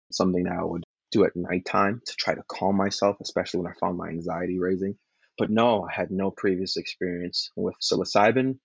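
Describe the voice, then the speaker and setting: Deep gravelly man, earnest and measured. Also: no room sound. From Liberia. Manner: earnest, measured, open about feelings. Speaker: guest. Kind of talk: reflective interview talk. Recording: dull lo-fi call audio.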